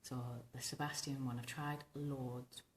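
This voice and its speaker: Very low, hoarse, smoky-voiced woman vlogger. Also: little pitch movement, measured pace, echoey room, slight background noise. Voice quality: husky, rumbling, smoky voice from tonsillitis. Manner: rambling, informal, chatty. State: recovering from tonsillitis, hoarse. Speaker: vintage hairstyling vlogger. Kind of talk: casual tutorial chat to camera. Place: small domestic bedroom, indoors.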